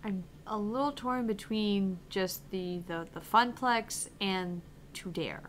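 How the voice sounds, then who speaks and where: A woman's voice: mid-range voice, slow, slight background noise. A woman game streamer chatting casually during a stream.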